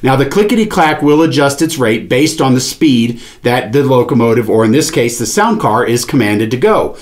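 A man's voice: medium pitch, expressive, moderate pace. A man in his forties giving an instructional product demonstration, explaining things step by step. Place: small indoor studio with padded panel wall, seated at a table.

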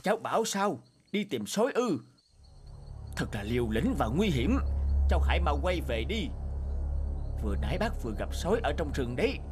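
A man voicing a kindly, cautious older uncle ape in an animated fairy tale, concerned and admonishing. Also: fairly high pitch, moderate pace, pauses often, faint music behind. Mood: alarmed, admonishing.

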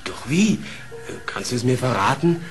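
An actor playing an accusing wolf vassal in a fairy-tale audio play, telling things in a formal, plaintive way. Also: male voice, medium pitch, big pitch swings, moderate pace, faint music behind, natural voice. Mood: aggrieved. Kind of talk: German fairy-tale radio play acting.

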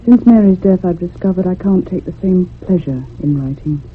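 An actress playing a depressed, lonely woman writer in her thirties, speaking in a measured, confiding in a radio drama. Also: lowish voice, husky. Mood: downcast, confiding. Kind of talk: radio drama acting and first-person narration. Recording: muffled lo-fi old radio recording.

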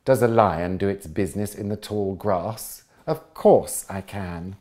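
A man's voice: deep voice, measured pace, darkish tone. A man doing a doting, amused male hyena. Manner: amused, incredulous.

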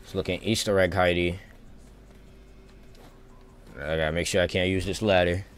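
A man's voice: low voice, steady tone, normal speed, slight background noise. A man giving casual live gameplay commentary as a streamer, thinking aloud in informal, slangy talk. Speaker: video game streamer.

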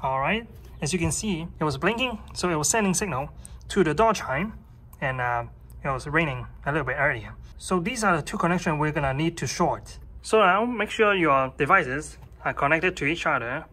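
A man's voice: a little high, expressive, normal speed, bright, forceful tone. A man in his thirties presenting a casual, hands-on tech tutorial and explaining it step by step.

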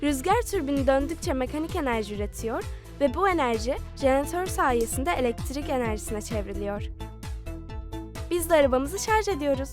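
A woman voicing an eager, imaginative little girl who explains things clearly in a children's cartoon, with music behind. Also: high-pitched, animated, brisk. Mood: curious, helpful.

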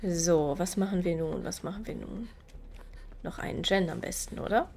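A woman's voice: on the low side, moderate pace, gravelly, mellow, slight background noise. Nasal woman commentating a game. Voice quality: slightly nasal. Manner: chatty, explains game mechanics while playing. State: stuffy nose from a cold. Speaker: gaming video creator. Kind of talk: casual gameplay commentary.

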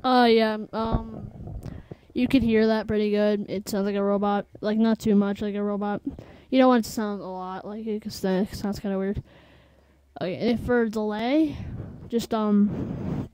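A woman presenting a casual software tutorial in a halting, informal, offhand way. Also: mid-range voice, fairly even intonation, smooth, dry recording.

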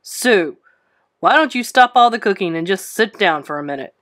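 A woman's voice: medium pitch, animated, moderate pace, fairly bright tone, natural voice. A woman voicing a sour, grumpy old husband in a storybook, with a growling, sneering manner. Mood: irritated.

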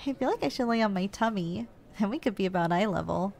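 A woman playing a doting, gentle giantess secretary in an ASMR roleplay, speaking in a caring, softly teasing, slightly hesitant way. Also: mid-range voice, animated, quick pace, creaky. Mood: apologetic, gentle.

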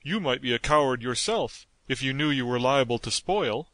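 Man doing a nervous gloomy pumpkin head guy, storybook character, dry sound, mid pitch. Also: animated, moderate pace, a bit bright, no room sound. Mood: offended. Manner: worried, timid, touchy. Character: gloomy, fearful living pumpkin-headed man.

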